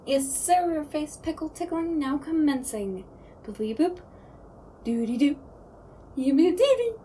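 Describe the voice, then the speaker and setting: A man's voice: very high-pitched, animated, a little slow, mellow, a little hiss or hum. A man playing a silly, wisecracking ghost prankster in a comedic audio roleplay, with a goofy, singsong, playful delivery.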